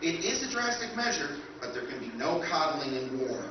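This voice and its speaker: A man's voice: fairly high pitch, big pitch swings, moderate pace. A man playing an unflappable, doting military machine in a live 1950s-style radio play, speaking formally and precisely with solemn earnestness. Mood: calm, insistent. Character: unflappable, polite, doting military machine tending supposed soldiers. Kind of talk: live recreation of 1950s radio science fiction acting. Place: live stage at a convention, indoors. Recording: echoey, distant, dull noisy convention hall.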